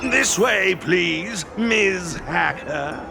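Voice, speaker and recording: A man voicing an excitable, polite but feisty pet receptionist in a video game. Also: sits high, drawn-out words, creaky, music in the background, exaggerated voice. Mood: polite, theatrical. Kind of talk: video game character acting.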